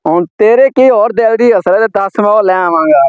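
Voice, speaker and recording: Man playing a very high-pitched wayward Punjabi son. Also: fairly quick, smooth, lo-fi sound, lightly acted. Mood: eager to please. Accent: Pakistani Punjabi. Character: wayward, wife-pleasing son who abandoned his father. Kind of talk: amateur moral skit acting.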